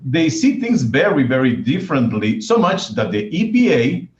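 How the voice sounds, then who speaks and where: A man's voice: on the high side, very animated, moderate pace. A man with a Mexican accent, a news editor co-hosting a town hall with formal introductions. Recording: echoey, muffled video-call audio.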